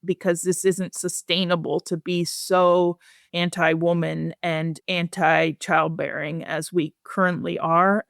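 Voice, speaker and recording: A woman speaking as a guest researcher in a podcast interview, measured, earnest and articulate. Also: lowish voice, no echo, studio quality.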